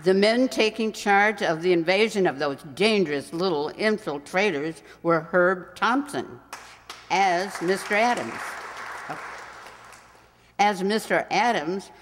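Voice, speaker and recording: A woman announces closing credits in radio style at a slow, halting pace, stumbling over names. Her mid-pitched voice echoes in a large hall, with faint crowd sound behind it. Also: animated. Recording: reverberant hall, audience noise.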